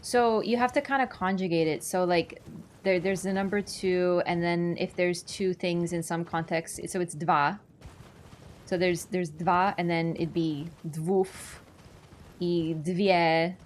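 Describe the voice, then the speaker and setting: A woman's voice: lowish voice, fairly even intonation, a little slow, slight background noise. A woman streamer chatting casually with her chat while she plays a game, laughing easily and answering questions. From Poland. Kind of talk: casual gaming livestream chat.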